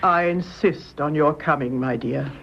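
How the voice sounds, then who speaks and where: A woman's voice: deep voice, measured pace, gravelly, exaggerated voice. An actress playing a composed, commanding older British mother, the lady of the house, in a radio drama. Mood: calm, insistent. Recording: dull, noisy radio drama recording.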